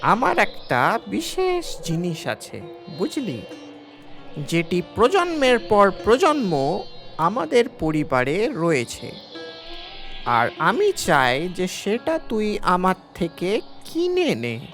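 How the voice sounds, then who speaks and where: A man voicing a wily village man who pleads and feigns grave illness in a cartoon, speaking in a halting, theatrical way. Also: high-pitched, wildly swooping pitch, fairly bright tone, soft background music, full character voice. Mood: coaxing. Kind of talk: cartoon story acting.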